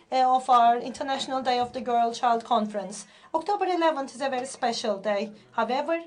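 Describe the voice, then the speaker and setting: A teenage girl hosts and reads closing remarks in a formal, careful way. Her voice sits a little on the high side. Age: teens. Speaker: conference host.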